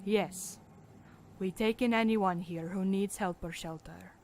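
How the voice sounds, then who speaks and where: A woman's voice: medium pitch, measured pace, a little mellow, lightly acted. Firm, motherly medic played by a woman. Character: caring, firm female medic leader mixing in Spanish. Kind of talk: audio drama acting.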